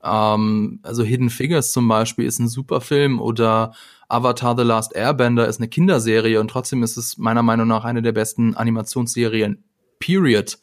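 A man's voice: medium pitch, level tone, moderate pace, dry recording, clean studio sound. A man co-hosting a podcast in casual chat, talkative and steering the talk with frequent fillers. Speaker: podcast co-host.

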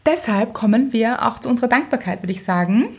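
A woman's voice: fairly high pitch, animated, fairly quick, breathy edge. Woman co-hosting a podcast. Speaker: podcast co-host. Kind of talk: casual chat, reading out listener mail.

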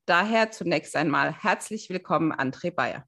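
A woman's voice: fairly low pitch, animated, normal speed, a bit bright. A woman hosting a podcast interview in a conversational way. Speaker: podcast host.

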